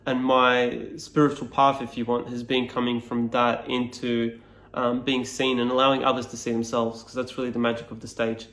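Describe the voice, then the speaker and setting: A man's voice: mid-range voice, level tone, moderate pace, a bit bright, reverberant. A man whose native tongue is Serbian, a speaking coach explaining his course to camera in a reflective, loose way, with many fillers and restarts.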